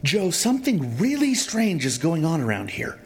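Lively higher-voiced man in a radio play. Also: moderate pace, heavy background noise. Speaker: adventurer in an audio drama. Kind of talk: casual audio drama acting.

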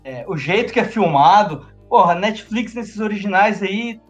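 Slangy high-voiced podcast guy. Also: expressive, moderate pace, dull audio, soft background music. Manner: slangy, loose, laughs often. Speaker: podcast co-host. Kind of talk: casual film review chat.

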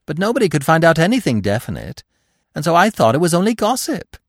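A man voicing an excitable, gossipy little male squirrel with a shrill, eager voice. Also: quick pace, no echo, clean studio sound, exaggerated voice. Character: excitable chattering male squirrel, small and nosy.

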